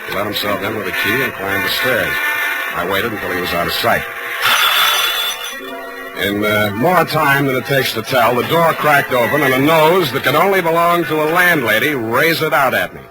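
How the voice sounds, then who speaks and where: An actor playing a wisecracking, hardboiled private detective, dry and sardonic, in 1940s radio style. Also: male voice, medium pitch, natural voice, rough recording. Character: wisecracking, hardboiled male private detective narrating his case.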